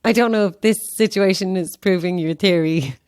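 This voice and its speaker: A woman playing a chatty, eager actress, flippant and quick to apologise, in a naturalistic audio horror drama. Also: fairly low pitch, a little mellow, smooth, lightly acted. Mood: curious, uneasy. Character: chatty, eager young actress, impressed but uneasy. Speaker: actor.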